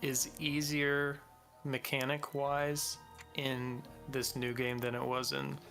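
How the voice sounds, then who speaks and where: A man's voice: mid-range voice, level tone, takes it slowly, sharp tone, soft background music. A man speaking in casual conversation on a gaming stream. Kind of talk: casual chat.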